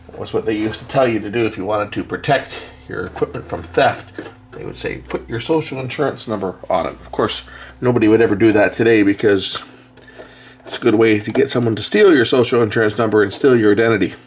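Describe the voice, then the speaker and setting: A man's voice: lowish voice, brisk, slight background noise. A man giving a casual, hands-on repair demonstration as a technician, explaining things in a matter-of-fact way. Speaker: electronics repair technician and video host.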